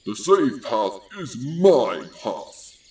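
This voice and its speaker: Man voicing a very slow, grumpy talking tree. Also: medium pitch, big pitch swings, a little mellow. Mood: quarrelsome. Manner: drawn-out, bickering, indignant. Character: grumpy, quarrelsome talking trees woken from slumber. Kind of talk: storybook character voice. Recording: distant mic with faint noise.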